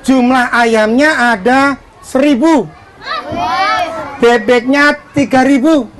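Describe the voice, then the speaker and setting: A woman tells a children's story live in a high voice, with slow, theatrical delivery. She calls out to her audience over a noisy crowd.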